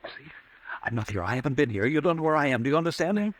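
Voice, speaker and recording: An actor playing a tormented, halting and agitated man who doubts his own sanity, in old-time radio suspense style. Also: on the high side, lively intonation, slight background noise, natural voice. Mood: fearful.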